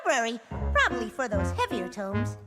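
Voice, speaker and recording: A fussy little know-it-all from a cartoon. His very high voice is precise and prissy, leaping about animatedly over loud music. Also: huge pitch swings, a little fast, heavily acted. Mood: prim. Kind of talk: cartoon game voice acting.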